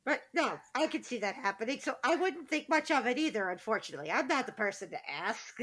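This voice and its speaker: A woman's voice: fairly high pitch, lively intonation, fast, a bit bright. A woman co-hosting a podcast in casual, joking chat, with dry, irreverent banter. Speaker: podcast co-host.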